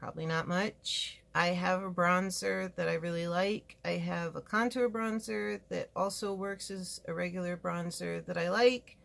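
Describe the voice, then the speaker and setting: A woman's voice: fairly low pitch, fairly even intonation, unhurried, creaky, hardly any pauses, fairly bright tone. A woman from California talking frankly and rambling through a casual makeup review in her home studio. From California, USA. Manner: chatty, frank and rambling, with asides. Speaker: beauty vlogger reviewing makeup. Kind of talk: casual product review chat.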